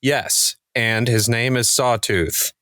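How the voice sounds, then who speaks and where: A man with a mid-pitched voice chats casually with fellow podcast players. The sound is dry, without audible room. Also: steady tone, slow, bright, forceful tone, no echo. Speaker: podcast cast member and player. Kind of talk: casual chat.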